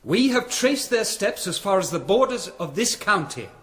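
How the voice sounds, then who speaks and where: A man's voice: high-pitched, sing-song, normal speed, a bit bright. A man playing an earnest, troubled monk envoy who speaks formally and anxiously in a radio drama.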